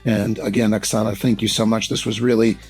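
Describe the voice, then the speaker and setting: A man hosting a podcast, with a raspy voice, speaking in an enthusiastic, affirming way. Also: on the low side, level tone, brisk, soft background music. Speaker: podcast host. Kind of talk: casual interview talk.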